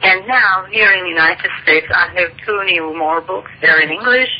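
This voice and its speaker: A woman's voice: on the low side, normal speed. A woman from Turkey with a Turkish accent, a native Turkish speaker, explaining her work earnestly as an interview guest. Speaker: guest author and ufologist. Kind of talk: interview over a phone line. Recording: muffled phone-line recording with faint hiss.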